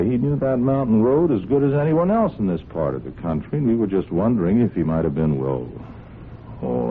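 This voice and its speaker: On a muffled, hissy old radio recording, a male police sergeant with a very low, expressive voice. He is folksy and hesitant, apologizing as he goes. Underneath the mild politeness he keeps quietly probing. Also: gravelly, full character voice. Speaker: police sergeant in a crime drama. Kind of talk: old-time radio drama acting.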